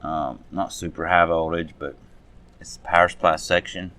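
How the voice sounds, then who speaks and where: Low-voiced man talking through a hands-on repair. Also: fairly even intonation, normal speed, bright, forceful tone. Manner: unscripted, muttering to self while working. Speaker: radio restoration hobbyist, presenter. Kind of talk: casual hands-on restoration walkthrough.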